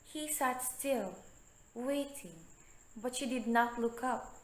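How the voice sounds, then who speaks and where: A woman's voice: a little high, takes it slowly. A young woman reading scripted narration for a readers' theatre story. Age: young adult. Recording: echoey room with background noise.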